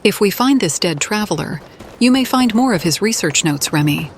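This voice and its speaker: A woman's voice: medium pitch, lively intonation, moderate pace, slight background noise. A woman narrating a fantasy game playthrough in character, as the adventurer she plays. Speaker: gaming video narrator playing a character.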